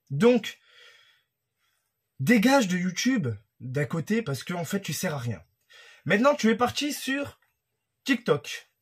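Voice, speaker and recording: A man's voice: high voice, slightly rough. A young man from France, a YouTuber, ranting heatedly and accusingly to camera from a room at home. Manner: heated and accusatory, talking straight to the target. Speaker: YouTuber commentator. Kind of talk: casual rant to camera. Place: room at home with a creaky wooden floor.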